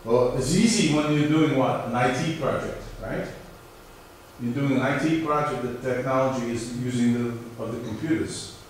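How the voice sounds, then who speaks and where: A man's voice: medium pitch, moderate pace. Echoey lecturing man with New York accent. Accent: New York area American. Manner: conversational, questions the class and answers himself. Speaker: professor. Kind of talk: university lecture. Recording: very echoey room, mic far off, faint hiss.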